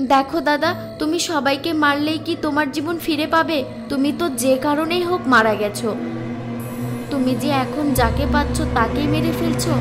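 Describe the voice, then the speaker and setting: A woman's voice: sits high, lightly acted. A woman voicing an earnest, compassionate young married villager in a cartoon, pleading and persuasive. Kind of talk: cartoon voice acting.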